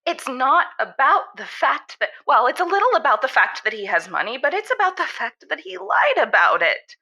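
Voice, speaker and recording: A woman's voice: high-pitched, big pitch swings, moderate pace, vocal fry, pushed and bright, full character voice. A woman playing an indignant, idealistic young socialist calling in to a radio show in a comedic audio drama, theatrical and emphatic. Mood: hurt, indignant.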